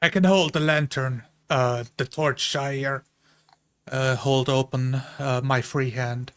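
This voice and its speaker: A brave, dependable dwarf traveller, played by a man in a fantasy tabletop game. He speaks in a flat, mid-pitched voice, thoughtful and measured, with halting pauses. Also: clean tone.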